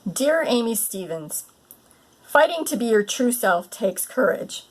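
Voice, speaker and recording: A woman reading aloud a short letter of support in a calm, measured way. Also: a little high, a little hiss or hum, low-quality recording.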